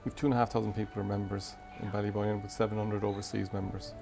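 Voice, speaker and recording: An Irish man from a golf club answering interview questions in a brisk, proud way. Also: lowish voice, little pitch movement, creaky, music in the background.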